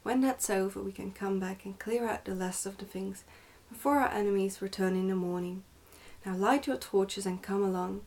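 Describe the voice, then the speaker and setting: A woman's voice: medium pitch, steady tone, moderate pace, few pauses, a little mellow, lightly acted. A woman voicing a stern, pompous goblin father in an ASMR storybook reading, scolding and commanding.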